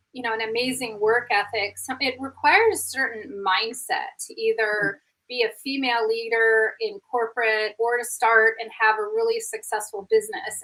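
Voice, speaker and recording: Effusive woman host, high bright voice, coach running a live interview. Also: poor audio. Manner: effusive, gushing praise.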